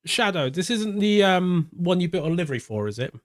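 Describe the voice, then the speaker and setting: A man from Portugal streaming a racing game live, shouting and crying out during close racing moments, then chatting calmly with viewers. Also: sits high, brisk. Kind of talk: live gameplay commentary and chat reading.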